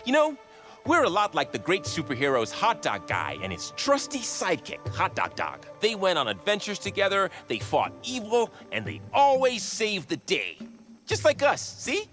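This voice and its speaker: A man playing an eager, geeky young sidekick in an animated video game, excitable and chatty. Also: high voice, normal speed, faint music behind, heavily acted. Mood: cheerful.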